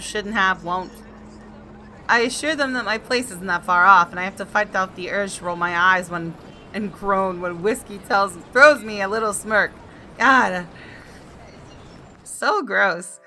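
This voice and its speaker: A woman's voice: mid-range voice, sharp tone, a little hiss or hum, poor audio. A woman gaming YouTuber reading visual novel narration aloud expressively, with casual asides.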